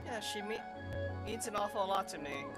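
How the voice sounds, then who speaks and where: A woman's voice: mid-range voice, lively intonation, moderate pace, music in the background. A woman streaming a game, casually reading out replies and commenting as she plays. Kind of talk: casual livestream play, reading replies aloud.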